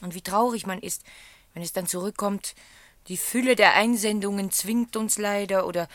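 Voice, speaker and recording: An actress playing a gentle, reserved, sorrowful young woman in a German radio play. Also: mid-range voice, normal speed, no room sound, lightly acted. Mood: polite, wistful. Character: reserved young runaway wife working as typist, gentle, sorrowful.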